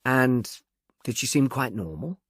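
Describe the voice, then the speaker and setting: Man playing a brisk police inspector, animated intonation. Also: medium pitch, slightly rough, no echo, studio quality. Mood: probing. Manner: dispassionate, official, probing. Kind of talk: audiobook character dialogue.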